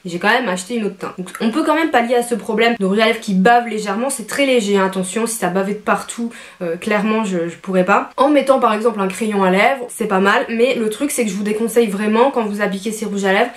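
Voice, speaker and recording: A woman's voice: medium pitch, quick pace, few pauses. A young adult woman with a French accent, chatting through a casual beauty product review and explaining herself in asides. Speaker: beauty vlogger.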